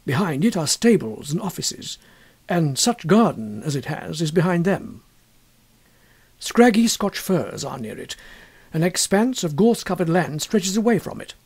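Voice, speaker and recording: A man with a husky voice narrating a literary ghost story in a measured, expressive way. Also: fairly high pitch. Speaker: audiobook narrator.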